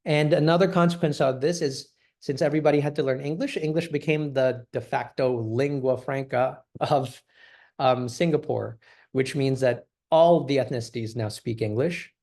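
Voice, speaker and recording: A man presenting as an English teacher, speaking in an explanatory way with frequent pauses and restarts. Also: mid-range voice, warm tone. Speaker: ESL teacher giving a presentation. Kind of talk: recorded class presentation.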